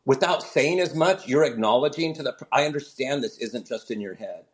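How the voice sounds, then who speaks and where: A man's voice: medium pitch, a little fast. A middle-aged male comedian chatting on a remote interview, telling stories and acting out quoted voices. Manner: animated storyteller, acts out quoted voices. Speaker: comedian and show founder. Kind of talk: casual interview chat.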